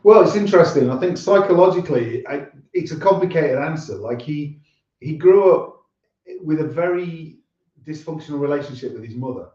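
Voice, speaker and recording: A man's voice: a little high, lively intonation, normal speed, darkish tone. A man in his fifties chatting casually as an interview guest, earnest and explanatory. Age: 50s. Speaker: singer-songwriter and interview guest. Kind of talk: casual chat. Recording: roomy, distant, dull lo-fi sound.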